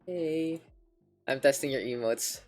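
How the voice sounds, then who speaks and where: A man's voice: medium pitch, slow, faint music behind. A man with a Filipino accent casting an esports match on a live stream, chatty and rambling, often repeating himself. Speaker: esports caster.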